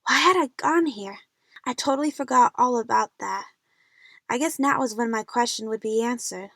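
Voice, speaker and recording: A woman's voice: a little high, normal speed, creaky, fairly bright tone, no room sound, studio quality. A woman playing a frightened young female adventure fan, narrating her own jungle ordeal in a scripted audio drama. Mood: fearful, suspenseful.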